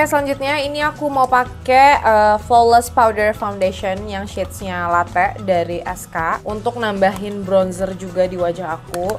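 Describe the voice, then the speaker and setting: A young woman with a blocked, nasal voice from a bad cold walks through her makeup routine in a loose, chatty way, tossing in casual jokes at her own expense. She talks close to the camera in a small room at home, with faint music running underneath. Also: medium pitch. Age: young adult. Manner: chatty, casual, self-deprecating asides. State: stuffy nose from a heavy cold. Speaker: beauty vlogger. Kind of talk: makeup tutorial talk. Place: small indoor room at home, rain outside.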